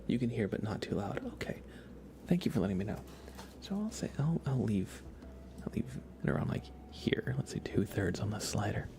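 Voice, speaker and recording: A man livestreaming a game in ASMR style, softly whispering in a relaxed, casual way as he thinks aloud and chats with viewers. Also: lowish voice, normal speed, background noise. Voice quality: soft, breathy whisper.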